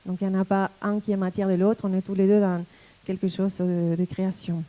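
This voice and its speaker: Very dark-voiced Argentine woman. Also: medium pitch, little pitch movement, low-quality recording. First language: Spanish. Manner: thoughtful, halting, searching for words. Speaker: tango dancer and teacher. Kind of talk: personal reflective talk.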